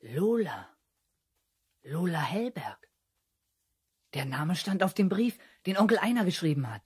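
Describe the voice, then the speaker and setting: A man's voice: high-pitched, very animated, a little slow, husky, full character voice. An actor in a 1970s German children's radio play playing an eager, watchful boy detective, earnest and secretive. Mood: secretive, excited.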